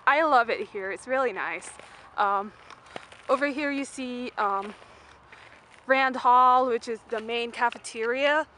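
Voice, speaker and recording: A woman's voice: fairly high pitch, a little slow, pushed and bright. A young woman from Malaysia giving a casual, unscripted walking-tour vlog in a rambling, informal way full of fillers. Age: young adult. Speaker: student vlogger. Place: mostly outdoors on a university campus. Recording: noisy handheld outdoor camera audio.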